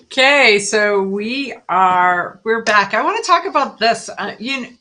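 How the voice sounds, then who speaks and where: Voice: medium pitch, animated, a little slow, pushed and bright. A radio show host chatting casually and conversationally on a talk program.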